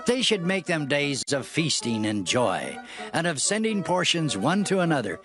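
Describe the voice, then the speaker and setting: A performer reading out the words of a royal letter in a measured, proclaiming delivery. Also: mid-range voice, big pitch swings, music in the background, natural voice. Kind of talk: dramatized scripture reading.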